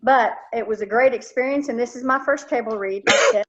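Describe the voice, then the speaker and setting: A woman's voice: a little high, a little fast, a bit bright. A woman chatting casually as she introduces herself as an amateur actor on a video call. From lives in Texas, United States. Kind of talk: casual chat. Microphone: video call microphone. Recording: dull, lo-fi video call audio.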